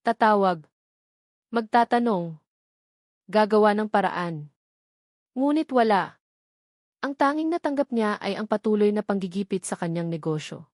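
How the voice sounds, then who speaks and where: A woman reading a scripted, dramatic family love story as narrator, pausing between short phrases for effect. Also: mid-range voice, lively intonation, darkish tone, no echo, studio quality.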